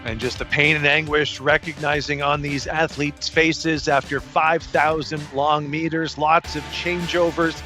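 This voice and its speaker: A man's voice: on the high side, level tone, a little slow, few pauses, sharp tone, faint music behind. A man hosting a sports broadcast, upbeat and announcer-like. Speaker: play-by-play host. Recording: dull dry studio audio.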